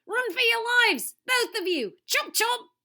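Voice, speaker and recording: A haggard, tubby male survivalist from a storybook, acted out by a woman. The voice is hoarse and forceful, pushed high, with a bogus Australian accent. He is gruff and easily panicked. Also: lively intonation, normal speed, exaggerated voice. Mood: wild, haggard. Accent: fake Australian.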